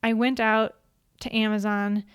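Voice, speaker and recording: A woman with a quiet voice, hosting a podcast and reading from a prepared script at an even, measured pace into a studio microphone. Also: fairly high pitch, clean tone, no echo. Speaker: podcast host. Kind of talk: scripted solo talk.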